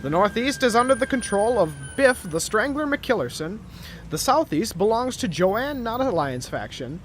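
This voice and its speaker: Bombastic, mock-grave superhero serial narrator, performed, very animated intonation, slight noise behind. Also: medium pitch, moderate pace, natural voice. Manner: theatrical, mock-serious. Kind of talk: tongue-in-cheek old-time radio serial narration.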